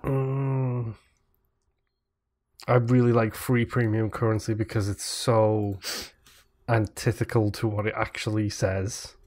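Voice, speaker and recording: A man's voice: on the low side, level tone, slow, long pauses, darkish tone. Casual man co-hosting a podcast. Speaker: podcast co-host.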